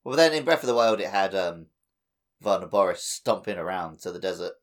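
Lowish male voice, podcast co-host, conversational, joking, casual video game chat. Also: expressive, brisk.

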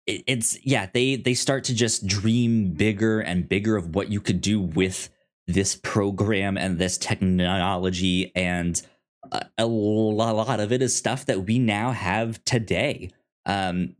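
A man co-hosting a podcast in casual review chat, halting and often stammering over repeated words. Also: lowish voice. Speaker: podcast co-host.

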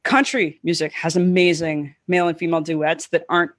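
A woman's voice: fairly low pitch, flat delivery, brisk, no room sound. Dry-voiced woman chatting casually. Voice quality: scratchy throat this season. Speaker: podcast co-host. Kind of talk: casual chat.

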